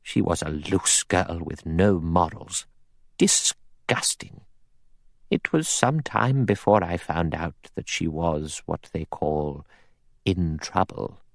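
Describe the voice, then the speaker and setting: A man's voice: deep voice, animated, slow pace, creaky, no echo, full character voice. A man voicing a pious, severe elderly spinster in an audiobook, dry, precise and disapproving. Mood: serene disapproval. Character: prim, pious, severe elderly spinster, coldly self-righteous.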